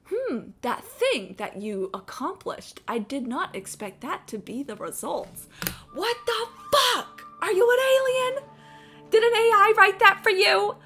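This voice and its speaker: A woman's voice: high voice, a bit bright. A woman giving casual live game-stream commentary in a sarcastic, exasperated, sweary manner. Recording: game music behind.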